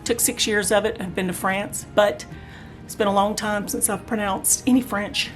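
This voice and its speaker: A woman hosting a craft review vlog, chatty and enthusiastic, talking straight to her viewers while she is hoarse and losing her voice. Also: mid-range voice, fairly even intonation, moderate pace, soft background music. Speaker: craft channel host.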